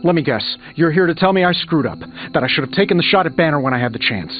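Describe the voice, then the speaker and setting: An actor playing a proud, guilt-ridden genius superhero in a video game, sarcastic, emphatic and hard on himself. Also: male voice, fairly high pitch, animated, fast talker, lightly acted. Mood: bitter, goading. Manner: sarcastic, self-reproaching, emphatic. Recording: muffled game audio under music.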